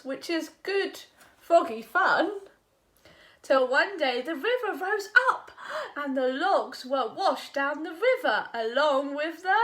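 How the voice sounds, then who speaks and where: A woman reading a rhyming picture book aloud to children in an expressive, engaging way. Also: sits high. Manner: expressive, asks the young listener questions. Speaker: children's storyteller.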